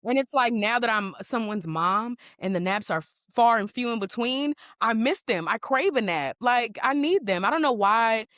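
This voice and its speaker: A woman in a casual podcast chat, talking in an animated, sassy way with emphatic rhetorical asides. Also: fairly high pitch, normal speed, few pauses, dry recording, clean studio sound. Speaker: podcast guest, project manager.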